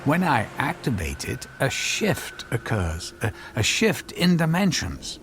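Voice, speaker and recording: An actor playing an obsessed, apologetic middle-aged scientist and husband in a radio drama, halting and repeating his words. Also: medium pitch, very animated, a bit bright, slight background noise. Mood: eager, pleading.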